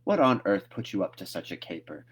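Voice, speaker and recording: A man voicing a stern older woman who scolds a child briskly in a storybook read-aloud. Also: low voice, big pitch swings, husky, full character voice. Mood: exasperated.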